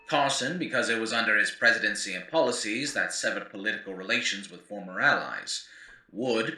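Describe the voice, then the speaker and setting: A man acts out an opinionated male radio host of a post-apocalyptic America, lecturing on lore with theatrical, wry emphasis. His voice sits on the low side and is highly animated. It sounds roomy and set back from the mic. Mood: emphatic. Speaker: narrator and host. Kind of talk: scripted in-character lore narration, lecture-like. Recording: roomy, distant mic, faint background noise.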